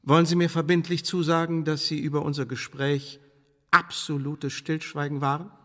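Man playing pompous, smoothly menacing spymaster, reverberant. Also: on the high side, flat delivery, normal speed, natural voice. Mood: officious, persuasive. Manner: formal, pompous, ornate officialese with veiled threats. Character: smooth, menacing English secret service chief, Elizabethan era. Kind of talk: German radio drama acting. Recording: studio radio play with long reverb.

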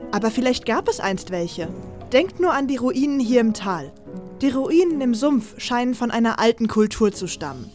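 Woman acting a blunt, sharp-tongued female fighter. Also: fairly high pitch, sing-song, normal speed. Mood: thoughtful. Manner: direct, scolding, argumentative. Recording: music under the voice.

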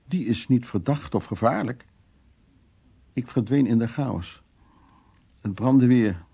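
A man's voice: medium pitch, takes it slowly, exaggerated voice. An actor playing a worldly, blunt man who recounts wartime escapades in an earthy, wry radio drama monologue. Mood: earthy, confessional. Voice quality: gravelly, husky, dark.

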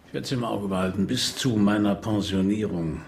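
Gravelly low male voice, menacing bitter cop, curt, threatening, noir radio play. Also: brisk, slight background noise. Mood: quiet menace. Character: menacing, bitter male police lieutenant, distrustful old-school cop. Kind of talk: radio drama dialogue.

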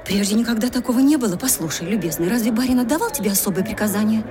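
An actress playing a proud, agitated young gentlewoman in a radio drama, imperious and insistent with servants. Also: fairly high pitch, lively intonation, rapid-fire, music in the background, natural voice. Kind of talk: theatrical radio drama acting.

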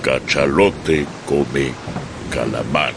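An actor playing a stoic harpooner who speaks in clipped, broken phrases in a radio drama. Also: male voice, very deep voice, noisy background, exaggerated voice. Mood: calm, knowing. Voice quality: gravelly, halting broken speech.

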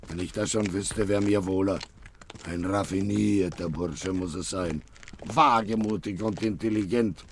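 Low, gruff gangster boss. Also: male voice, steady tone, unhurried. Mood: vengeful, brooding. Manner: gruff, curt, threatening. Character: big, menacing, impatient, vengeful gangster boss. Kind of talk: 1950s German radio crime satire acting. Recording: noisy old radio play recording.

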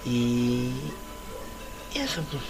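A man from Chile who sings and plays music, speaking modestly and softly as a radio guest. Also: mid-range voice, big pitch swings, drawn-out words, slight background noise. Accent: Chilean Spanish. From Puerto Montt, Chile. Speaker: singer and street musician guest. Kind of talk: casual interview chat.

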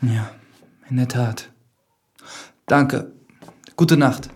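An actor playing an earnest, pious priest, reading his journal in a measured, formal way in a radio drama. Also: medium pitch, a little mellow, husky. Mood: polite. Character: earnest, pious priest investigating a death, medieval-style world. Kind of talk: scripted radio drama monologue, journal narration. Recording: music behind the voice.

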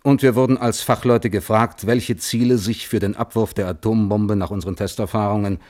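An actor playing a guarded, reflective physicist on the witness stand in a German radio play, answering tersely and precisely. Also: male voice, fairly low pitch, fairly even intonation, a little fast, darkish tone, lightly acted. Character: measured, guarded male physicist testifying as witness. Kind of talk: German radio play acting, hearing testimony.

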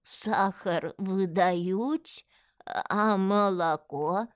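A creaky old grandfather, as a woman narrator puts him on speaks slowly and plaintively. He is confused yet stubbornly hopeful, dragging out each word in a dry audiobook recording. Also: mid-range voice, dry recording. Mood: hopeful pleading. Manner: slow, drawn-out, plaintive.

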